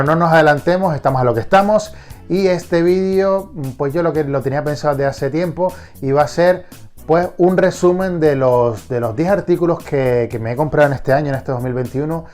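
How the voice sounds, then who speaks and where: A man's voice: a little high, normal speed, a little mellow, soft background music. Stuffy-nosed man. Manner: enthusiastic, many restarts. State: congested with a cold, nasal. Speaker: collector vlogger. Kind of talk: casual vlog.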